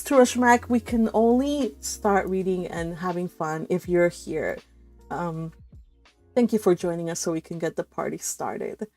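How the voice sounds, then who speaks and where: A woman hosting a casual livestream, chatting in an animated, teasing way. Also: medium pitch. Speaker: host of a live read-along stream. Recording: music behind the voice. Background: music.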